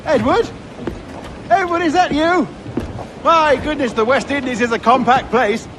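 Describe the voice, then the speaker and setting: A high-pitched, naive gentleman playing at piracy for a video game. He is courteous, chirpy and flowery. Noisy waterside ambience surrounds him. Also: unhurried. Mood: delighted, eager. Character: genteel, eager, naive gentleman turned novice pirate. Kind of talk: video game cutscene dialogue.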